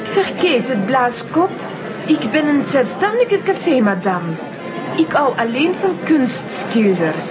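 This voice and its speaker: Haughty high-voiced café madame. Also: female voice, very animated, slow pace, heavily acted. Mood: scornful. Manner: mocking, emphatic. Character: haughty, self-assured, scornful café proprietress. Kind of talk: children's audio drama acting. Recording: dull recording, loud music and chatter behind.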